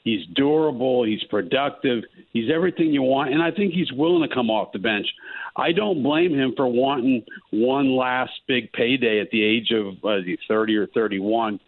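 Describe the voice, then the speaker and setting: A male sports columnist calling in, talking basketball in a halting way with lots of fillers. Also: mid-range voice, no room sound. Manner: halting, many fillers and restarts. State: losing his voice. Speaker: columnist guest. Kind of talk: casual sports talk. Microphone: phone line. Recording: tinny phone line.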